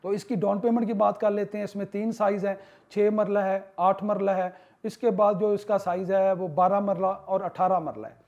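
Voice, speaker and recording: Male consultant, high and soft-toned, persuasive voice-over full of "uh" fillers, muffled lo-fi sound. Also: level tone. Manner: persuasive sales pitch, many "uh" pauses. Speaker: real estate consultant. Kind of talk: promotional voice-over.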